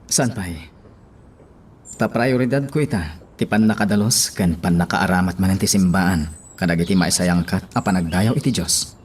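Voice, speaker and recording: A man with a Filipino accent playing a calm, devout parish priest in a radio drama, earnest and measured. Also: low-pitched, slightly rough. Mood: calm, resolute. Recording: music bed under the voice.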